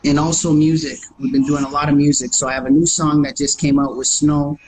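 A man's voice: fairly high pitch, little pitch movement, brisk, crowd noise, low-quality recording. A Canadian man with a slight New York accent, an actor chatting as a guest in a casual interview, friendly and often restarting his phrases.

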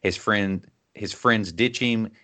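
Deep voiced guy hosting a movie podcast, measured, keeps it orderly. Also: sharp tone. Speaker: podcast host. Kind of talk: film discussion with prepared plot recap.

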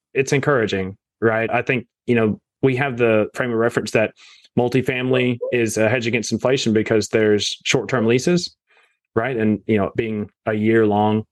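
Low-voiced man in a dry podcast interview. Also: no echo. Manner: conversational, frequent "you know" and "right?" fillers. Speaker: real estate investor guest.

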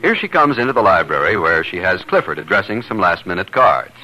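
Low-pitched, swooping vintage radio announcer man. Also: very animated, fairly quick, pushed and bright, low-quality recording. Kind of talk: 1950s radio scripted narration and sponsor reads.

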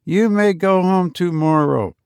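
A man voicing a calm, strict robot teacher who speaks flatly and without feeling. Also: sits high, clear, resonant tone, no echo, clean studio sound, voice pushed up. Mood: instructive. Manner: flat, unfeeling, measured.

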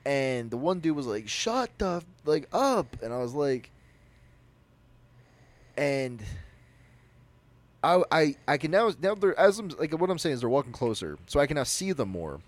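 A young man with a naturally loud, mid-pitched voice tells a personal story. His intonation swings widely as he restarts sentences and rambles through the details in a casual podcast chat. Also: moderate pace, long pauses. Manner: animated, rambling, with frequent restarts. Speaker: podcast co-host.

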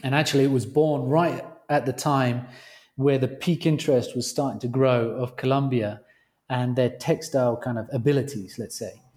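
A British man, a company founder, speaking as a guest on a remote interview call, with halting speech and frequent restarts. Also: medium pitch, fairly even intonation, a little mellow. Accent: British English. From Britain. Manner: halting, restarts phrases often.

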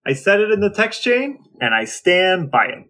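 A man's voice: high voice, sing-song, moderate pace, sharp tone. A man co-hosting a podcast in casual, conversational chat. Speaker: podcast co-host.

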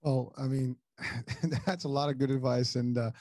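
A man's voice: medium pitch, fairly even intonation, measured pace, a little mellow, no room sound, clean studio sound. A middle-aged man from Morocco hosting a casual interview, talking in long rambling sentences full of restarts and repeated words. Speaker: podcast host.

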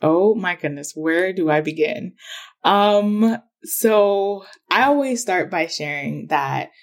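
Woman from ghana chatting on a podcast, lower voice, lots of you know and um. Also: takes it slowly. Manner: chatty, animated, many fillers. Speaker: podcast guest, business owner. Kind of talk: casual interview storytelling.

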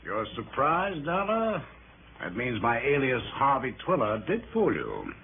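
Mock-courteous radio villain, swooping voice. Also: male voice, mid-range voice, wildly swooping pitch, creaky, exaggerated voice. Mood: smug. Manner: genteel, drawn-out, over-polite phrasing. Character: smooth, mock-courteous male crook, coolly menacing. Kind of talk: 1960s radio drama villain acting. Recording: tinny, noisy early-1960s radio recording.

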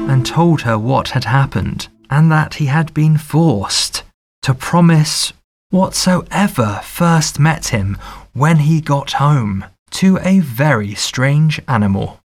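A man reads a fairy tale as an audiobook narrator. His delivery is very unhurried and deliberate, with a mid-pitched voice. Each phrase is set out in a measured, scripted storytelling style.

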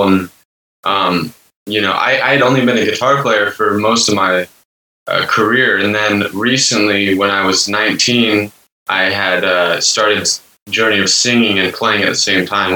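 A young man in his twenties with a low but bright voice talks earnestly as an interview guest, rambling through fillers and repeated words. He sounds set back from the mic in an echoey room. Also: far from the mic. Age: 20s. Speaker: guest musician. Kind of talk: casual interview chat.

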